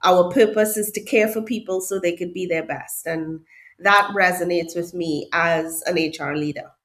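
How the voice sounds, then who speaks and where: A woman's voice: fairly low pitch, normal speed. Emphatic Trinidadian woman, middle-aged. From Trinidad and Tobago. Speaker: hotel HR director, podcast guest. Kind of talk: interview answers.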